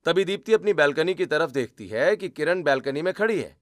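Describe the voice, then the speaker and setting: Male story narrator, scripted delivery, very lively intonation, slightly high register. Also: a little fast, no echo, studio quality.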